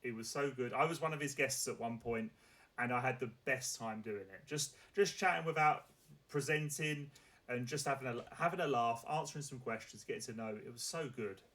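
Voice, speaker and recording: A man's voice: medium pitch, fairly quick, fairly bright tone. Rambling male chat show host. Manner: rambling, filler-heavy questions with many restarts. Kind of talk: casual chat show on a live stream.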